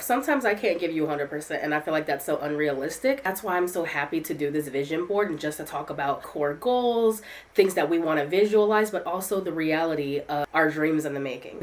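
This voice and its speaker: A woman content creator chatting candidly to her viewers in a casual vlog, congested from a head cold. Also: sits low. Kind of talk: casual talking-to-camera vlog.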